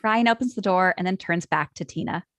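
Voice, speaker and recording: A woman's voice: on the low side, a little fast, a bit bright, no echo. A woman narrating script stage directions in a matter-of-fact way.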